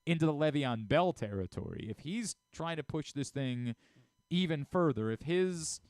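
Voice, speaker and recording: A man's voice: on the high side, animated, moderate pace, darkish tone, no echo. A man hosting sports radio in a casual, talkative style, on a close studio microphone. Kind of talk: casual sports radio talk, sponsor reads.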